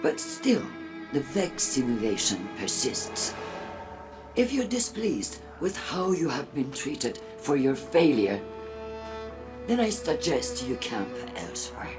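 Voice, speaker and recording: A cold, haughty female leader speaks slowly, with disdain and strongly varied intonation. Her delivery is measured and pointed, in the manner of video game drama, over clear background music. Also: rumbling low, big pitch swings, exaggerated voice. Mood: contemptuous. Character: cold, imperious female civic leader hostile to alien refugees.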